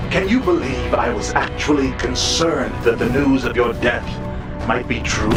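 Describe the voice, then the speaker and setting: An actor playing a vengeful, obsessive villain in a video game, speaking in a bombastic, taunting, melodramatic way. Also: male voice, medium pitch. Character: vengeful, obsessive villain hunting his nemesis. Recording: echoey, distant voice under loud music.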